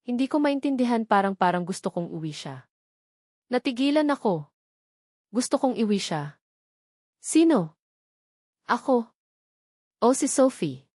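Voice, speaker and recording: A woman's voice: on the high side, darkish tone, no echo, studio quality. A woman with a Filipino accent reading a scripted first-person romance story as its storyteller.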